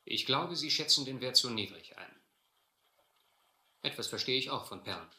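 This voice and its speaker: A man acting a shrewd, adventurous seafarer in a radio drama, deliberate and controlled with a touch of irony. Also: medium pitch, expressive, bright, forceful tone. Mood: coolly confronting. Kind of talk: radio drama acting, first-person narration and dialogue. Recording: roomy, slightly noisy old radio-play recording.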